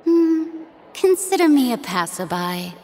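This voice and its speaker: A woman playing a mysterious, composed and playfully knowing female mage in a video game, measured and cryptic. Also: a little high, very animated, darkish tone, a little hiss or hum. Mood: musing.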